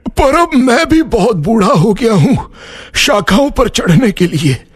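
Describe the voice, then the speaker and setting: A man voicing a weary, toothless, very old man in a children's cartoon story, slow and resigned. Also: very high-pitched, sing-song, breathy edge, pitched above the performer's own voice, heavily acted.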